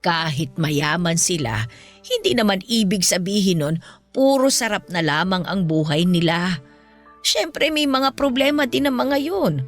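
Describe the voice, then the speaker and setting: A woman playing a gentle, principled ailing mother in a Filipino radio-style drama, speaking with earnest, patient counsel. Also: mid-range voice, lively intonation, a little slow. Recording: music bed under the voice.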